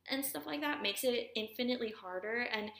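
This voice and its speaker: Raspy young woman, echoey room. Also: fairly high pitch, fairly quick. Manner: frank, relaxed, talks in long run-on thoughts. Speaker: podcast co-host. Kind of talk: casual chat.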